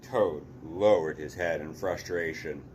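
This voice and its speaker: Male read-aloud host, deep and unhurried, expressive story delivery over a faint steady hiss.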